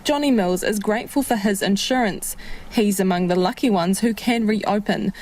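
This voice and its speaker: A woman with a New Zealand accent reading a scripted radio news report in a steady, measured way. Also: medium pitch.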